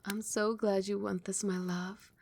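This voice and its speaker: A woman voicing a doting, possessive female vampire queen in an ASMR roleplay, speaking in a soft, soothing whisper close to the mic. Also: medium pitch, little pitch movement, a little slow, creaky. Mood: tender.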